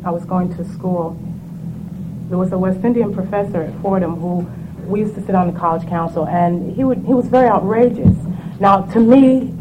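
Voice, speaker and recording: A reflective woman from the West Indies talks through her thoughts slowly, pausing often with ums. Her voice sits at a middle pitch. A noticeable hiss of background noise runs under the recording. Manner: reflective, measured, with frequent ums. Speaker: panel speaker. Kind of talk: candid panel discussion. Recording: noisy room recording.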